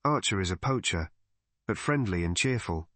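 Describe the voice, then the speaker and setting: A man's voice: fairly low pitch, animated, moderate pace, fairly bright tone, no echo. A man reading a scripted mystery story as an audiobook narrator.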